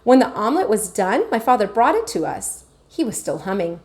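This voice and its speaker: A woman teacher reading a children's chapter book aloud with expressive, animated storytelling. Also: fairly high pitch, brisk.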